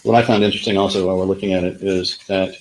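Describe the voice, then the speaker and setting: Low-voiced male lawyer arguing to a jury. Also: little pitch movement, rapid-fire, soft background music, poor audio. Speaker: lawyer giving closing argument. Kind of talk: courtroom argument to a jury. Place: courtroom.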